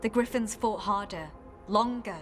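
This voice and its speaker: An actress playing a caring, grave former warden recruit in a video game, speaking in a measured, earnest way. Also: female voice, medium pitch, soft background music, lightly acted. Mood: solemn.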